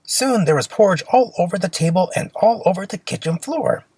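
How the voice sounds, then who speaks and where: A storyteller reading a children's fairy tale aloud in an expressive, simple storybook manner. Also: medium pitch, brisk, breathy edge, no room sound.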